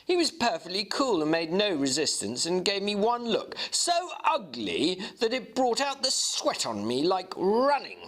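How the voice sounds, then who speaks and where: A man playing a worldly Victorian gentleman who recounts a shocking incident with vivid emphasis, in an audiobook. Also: high voice, sing-song, unhurried, few pauses, pitched above the performer's own voice, full character voice. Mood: animated, confiding. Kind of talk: dramatic audiobook reading.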